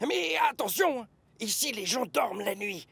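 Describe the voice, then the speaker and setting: Raspy, high-pitched fretful engine. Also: male voice, sing-song, unhurried, fairly bright tone, no echo, full character voice. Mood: cautious, fretful. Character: nervous, fretful male train engine. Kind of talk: French cartoon dub acting.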